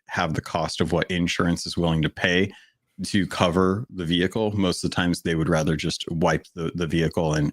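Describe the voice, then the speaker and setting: A man co-hosting a gaming podcast in a casual chat, with a deep voice and a steady, monotone delivery. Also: no echo, clean studio sound. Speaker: podcast co-host.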